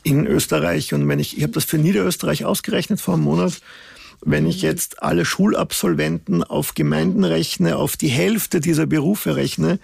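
A man's voice: a little high, moderate pace, vocal fry, darkish tone, breathy edge, no room sound, studio quality. A man with an Austrian accent speaking as a guest in a podcast discussion, skeptical and arguing with figures.

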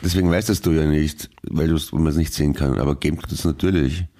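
A man's voice: a bass voice, fairly even intonation, quick pace, dark tone. A man with an Austrian German accent co-hosting a podcast, stammering and arguing with dry humor. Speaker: podcast co-host. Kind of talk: casual banter.

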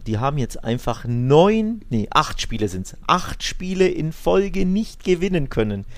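A man's voice: fairly high pitch, very animated, unhurried, dry recording. A man casually discussing football as a podcast co-host.

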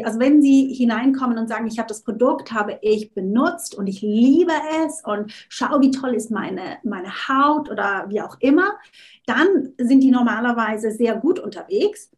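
A woman interview guest, a coach, talking casually, often restarting words and slipping in English phrases. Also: a little high. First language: not German, unsure of her German.

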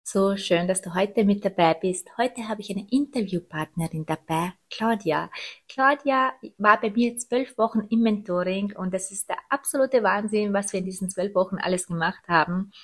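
A woman's voice: medium pitch, normal speed. A woman in her thirties speaking as a podcast host, introducing and interviewing. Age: 30s.

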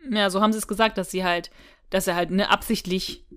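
A woman's voice: mid-range voice, steady tone, fast. A woman co-hosting a podcast, casually discussing an audio drama in long, looping explanations. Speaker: podcast co-host.

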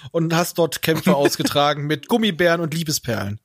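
A man's voice: a little high, animated, fairly quick. A man taking part in a podcast panel, chatting casually and jokingly.

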